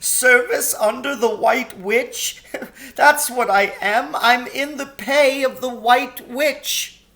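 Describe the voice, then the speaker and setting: Sobbing faun from a kids storybook, high and wailing, drags words out, gloomy, hates himself. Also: male voice, takes it slowly, bright, forceful tone, full character voice. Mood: tearful confession. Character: weeping, guilt-ridden male faun, gloomy and self-reproaching.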